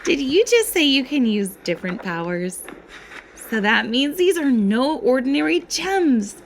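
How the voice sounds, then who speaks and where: A woman acting a playful, nerdy, excitable fairy student with a dramatic storytelling manner in an amateur voiced roleplay. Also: high-pitched, unhurried, a little hiss or hum, full character voice. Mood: amazed, excitable.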